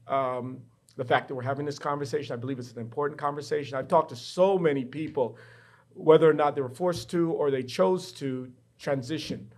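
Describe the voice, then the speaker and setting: A man's voice: on the high side, a little mellow. Reflective middle-aged male professor. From Bahamas. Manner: reflective, personal storytelling. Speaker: professor, guest. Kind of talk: candid interview conversation. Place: university studio.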